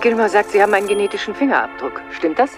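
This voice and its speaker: An actress playing an imperious, hard-line government minister in a radio play, curt and cutting. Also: female voice, mid-range voice, very animated, very fast, close to an everyday voice. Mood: stern, impatient. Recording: music under the voice.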